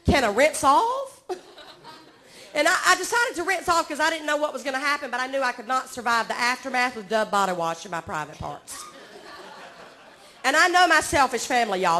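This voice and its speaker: A woman's voice: a little high, sharp tone. A woman in her forties from Georgia with a Southern accent, doing a self-mocking stand-up set on a comedy club stage and chatting with the crowd. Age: 40s.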